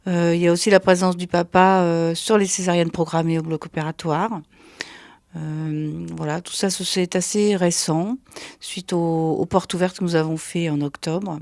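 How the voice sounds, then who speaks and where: A woman speaking as a health manager, explaining services in an informative way with hesitant pauses between short phrases. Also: on the low side, steady tone, a little mellow, no echo, clean studio sound.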